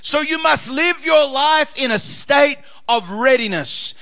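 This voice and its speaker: A man preaching as pastor, reading scripture and swinging between loud shouted climaxes and quieter asides. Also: very high voice, lively intonation, slow. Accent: Australian. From Australia.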